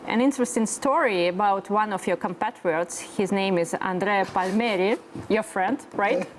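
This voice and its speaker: A woman's voice: medium pitch, normal speed, background noise. A woman hosting a conversational interview, putting questions to her guests. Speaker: host.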